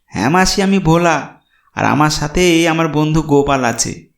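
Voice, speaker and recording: Man playing an earnest, high-pitched village man. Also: animated, moderate pace, vocal fry. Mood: friendly, respectful. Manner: earnest, pleading. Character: Bhola, remorseful, kind-hearted poor village man. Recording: roomy echo, music behind.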